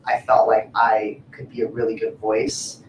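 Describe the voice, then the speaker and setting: A man's voice: lowish voice, completely flat delivery, a little slow. A man in his twenties on a discussion panel, who talks in a hesitant way with many fillers and restarts. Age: 20s. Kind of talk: casual panel talk. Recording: echoey room from far off, faint noise.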